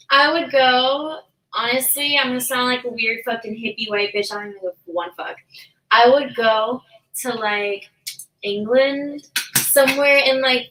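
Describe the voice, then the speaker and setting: A woman's voice: high voice, fairly bright tone. A young woman co-hosting a podcast, chatting casually and informally with friends, with some swearing. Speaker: podcast co-host. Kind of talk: casual chat among friends. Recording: echoey room, distant microphone.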